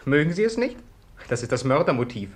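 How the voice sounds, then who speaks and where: A man's voice: mid-range voice, very animated, brisk, natural voice. An actor in a 1950s radio play playing a flippant, morbidly playful young man with an affected, melodramatic manner. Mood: gushing, teasing. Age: young adult.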